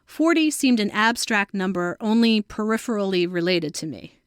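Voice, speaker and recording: A woman's voice: mid-range voice, expressive, normal speed, clear, resonant tone, dry recording, studio quality. A woman of about forty reading her own scripted personal essay as a narrator. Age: around 40.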